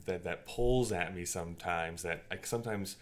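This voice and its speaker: A man with a low-pitched voice talks as a podcast co-host. His delivery is casual and conversational, like an informal discussion. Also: normal speed.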